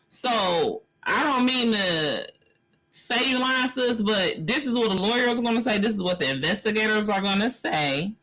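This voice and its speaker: A woman's voice: fairly low pitch, a bit bright, poor audio. A woman in her forties giving blunt, emphatic commentary on a live stream, speaking straight to the viewer as "sis". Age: 40s. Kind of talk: casual commentary on a news story.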